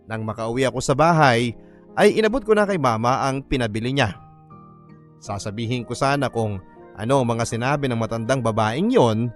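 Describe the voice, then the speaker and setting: A man with a Filipino accent reading a scripted first-person story as narrator. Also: medium pitch.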